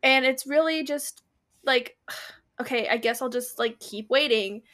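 A woman co-hosting a podcast in casual, unscripted chat, telling stories in a giggly, animated way. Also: high-pitched, measured pace. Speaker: book podcast co-host.

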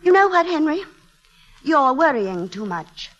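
A fond, practical middle-aged wife in an old-time radio play. Her high voice is affectionate and soothing, as if always placating her husband. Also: big pitch swings, measured pace, fairly bright tone, lo-fi sound, full character voice. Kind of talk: classic radio drama acting.

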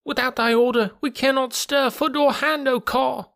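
A man's voice: very high-pitched, fairly even intonation, normal speed, gravelly, a bit bright, pitched above the performer's own voice, heavily acted. A man voicing an entranced monkey from a helpless, obedient horde in a storybook, chanting submissively. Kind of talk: dramatised storybook reading.

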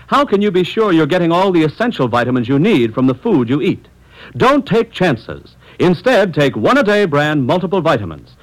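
An American man announcing a scripted vintage radio advertisement with emphatic, deliberate phrasing. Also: fairly high pitch, rough recording.

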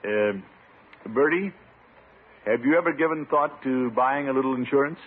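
A man's voice: mid-range voice, measured pace, slightly rough. An actor playing a pompous, flustered middle-aged bachelor turned insurance salesman in a radio comedy, with a hesitant, blustering manner. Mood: fishing, hopeful. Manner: hesitant, blustering, chuckling delivery. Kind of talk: 1940s radio comedy acting. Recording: tinny, noisy old radio recording.

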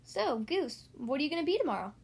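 Storybook duck, young male, easygoing and keen, high-pitched, voiced by a woman. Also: very animated, quick pace, vocal fry, darkish tone, exaggerated voice. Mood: eager.

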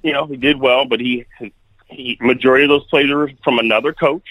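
A man's voice: mid-range voice, fairly even intonation, brisk, a bit bright, no room sound, poor audio. Blunt, opinionated man on a remote call. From Kalamazoo, Michigan. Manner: blunt, opinionated, talks in restarts. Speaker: guest sports commentator. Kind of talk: casual sports podcast chat.

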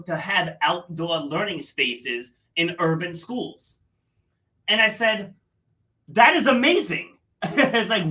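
A man's voice: fairly high pitch, pushed and bright, low-quality recording. A man hosting a podcast panel, closing the talk with emphatic, repetitive points about sharing stories. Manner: emphatic, repeats phrases for effect. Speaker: podcast host. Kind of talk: panel discussion wrap-up.

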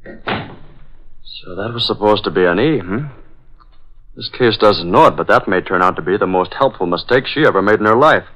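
An American actor playing a decisive boss and secret masked crime-fighter, crisp, deliberate and melodramatic in 1940s radio style. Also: male voice, on the low side, expressive, fairly bright tone. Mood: intrigued, determined. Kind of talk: 1940s radio drama acting. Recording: dull, band-limited old radio recording.

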